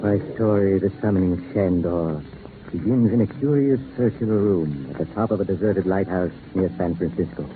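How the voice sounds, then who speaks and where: An actor playing an eerie doctor who tells strange horror tales, sinister and deliberate, as 1940s radio narration. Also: male voice, low-pitched, natural voice. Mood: ominous. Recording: noisy narrow-band 1940s radio recording.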